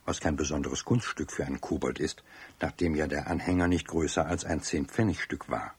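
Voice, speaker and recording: A man's voice: rumbling low, fairly even intonation, fairly quick, slightly rough, dry recording, heavily acted. A man narrating a children's radio play as a storyteller, reading scripted prose.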